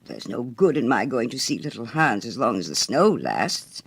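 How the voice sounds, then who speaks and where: A man's voice: medium pitch, very animated, moderate pace, breathy edge. A man voicing a rich, self-righteous miller in a storybook, with a sanctimonious, self-satisfied manner. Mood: smug.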